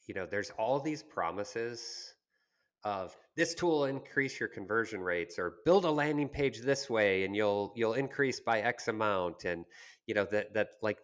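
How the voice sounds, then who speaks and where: A man's voice: low voice, a bit bright. A man co-hosting a conversational podcast about business, talking in an explanatory, anecdotal way. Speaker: podcast co-host discussing marketing.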